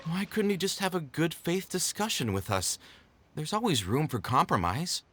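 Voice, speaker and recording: An earnest troupe member in a fantasy game. His voice is fairly high and animated, reasonable but frustrated, with faint noise behind. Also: normal speed. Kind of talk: video game character acting.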